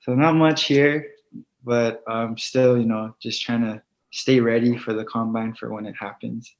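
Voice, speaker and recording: A young man who grew up in Hawaii, talking casually in an online interview about his football plans, soft-spoken with many fillers. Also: medium pitch, flat delivery, measured pace. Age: young adult. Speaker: football player guest. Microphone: video call. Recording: dull lo-fi video call audio.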